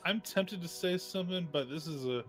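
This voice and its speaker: A man's voice: fairly high pitch, brisk. A man chatting casually as a player in a tabletop game. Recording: background music behind voice. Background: music.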